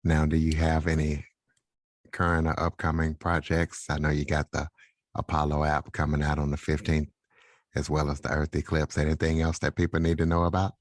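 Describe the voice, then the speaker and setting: A man's voice: very deep voice, monotone, normal speed, dry recording, clean studio sound. A man hosting a conversational interview, asking questions and adding brief comments. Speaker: podcast interviewer and host.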